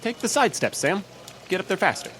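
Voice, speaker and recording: An actor playing a gossipy, needling, worried newspaper colleague, wry and insistent, in an old-time radio drama. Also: male voice, sits high, sing-song, fairly quick, a bit bright. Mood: uneasy. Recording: live stage with faint noise.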